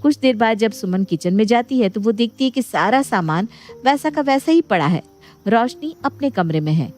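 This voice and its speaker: A woman's voice: on the high side, expressive, moderate pace, a little mellow. A woman storyteller reading scripted narration for a Hindi moral bedtime story. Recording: music bed behind the voice.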